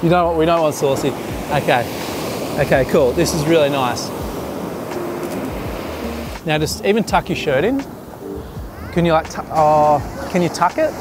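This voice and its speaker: A man in his thirties directing a beach photo shoot, relaxed and chatty, with many restarts and fillers, recorded outdoors at the shore. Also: a little high, unhurried, pauses often, background noise. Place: open sandy beach at sunset, outdoors. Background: music.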